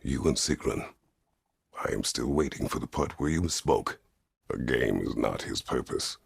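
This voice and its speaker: An actor playing a stern, weary, middle-aged Greek war god in a video game, speaking tersely and formally with a gravelly, husky voice. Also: male voice, very deep voice, lively intonation, a little slow, exaggerated voice. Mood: firm, demanding. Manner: terse, grave, formal phrasing without contractions. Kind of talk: dramatic video game voice acting.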